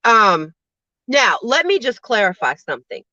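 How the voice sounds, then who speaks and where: A woman with a bright, forceful voice speaks bluntly and swears freely, pressing each point hard. Her voice sits a little high and is recorded dry and close, with a dull, slightly lo-fi sound. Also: very animated, a little slow, dry recording. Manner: blunt, profane, emphatic storytelling; says she has a naturally loud voice. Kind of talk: casual chat on a livestream.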